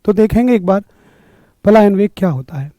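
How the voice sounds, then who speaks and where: A hoarse man leads a live exam-prep class, clearing his throat often. His voice sits fairly high for a man but sounds mellow and dark. The sound is dry and close, with no room echo. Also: lively intonation, normal speed. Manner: greets viewers by name, upbeat coaching. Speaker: online exam-prep teacher. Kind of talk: live-streamed physics class.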